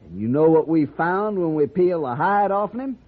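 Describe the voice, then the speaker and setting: An actor playing a cocky, quick-tempered ranch hand with a mocking Western American drawl in a radio western. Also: male voice, on the high side, lively intonation. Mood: boastful, mocking. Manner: folksy drawl, taunting. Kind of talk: old-time radio western acting. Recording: muffled, narrow-band old radio recording.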